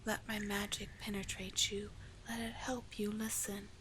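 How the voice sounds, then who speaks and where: A woman voicing a seductive, doting, manipulative sea witch in an ASMR roleplay, breathy and coaxing, lingering on her words. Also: mid-range voice, flat delivery, normal speed, a bit bright, lightly acted. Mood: commanding, hypnotic. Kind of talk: ASMR roleplay, slow hypnotic sleep-aid delivery. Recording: clearly noisy, with ambient sound.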